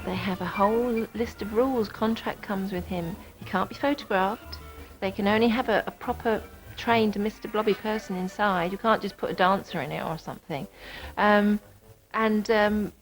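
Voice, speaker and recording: Gossipy woman, mid-pitched. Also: steady tone, moderate pace, rough recording. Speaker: interviewee. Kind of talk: casual talking-head interview. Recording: faint music behind, VHS tape.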